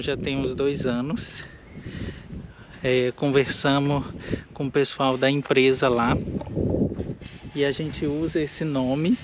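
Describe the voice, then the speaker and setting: A man's voice: mid-range voice, very noisy. A man from Minas Gerais, hosting his own vlog in an airfield hangar, chatting casually with many restarts and fillers. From Minas Gerais, Brazil. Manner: rambling, with frequent restarts and fillers. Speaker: channel host, eye doctor and pilot. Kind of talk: casual walk-and-talk vlog.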